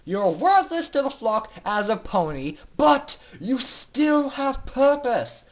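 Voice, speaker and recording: A man voicing a gloating, theatrical villain pony who announces to a crowd in loud, clear, grandiose oratory for a story reading. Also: very high voice, very animated, slow, voice pushed up, heavily acted. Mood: gleeful, grandiose. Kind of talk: dramatic fan fiction reading.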